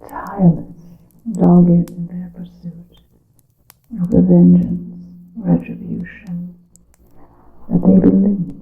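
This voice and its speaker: A woman playing a secretive, solemn witch in an ASMR roleplay, whispering slowly in archaic formal words, with a creaky breathy voice. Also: fairly low pitch. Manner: slow, deliberate, archaic formal wording. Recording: muffled narrow-band sound with room echo.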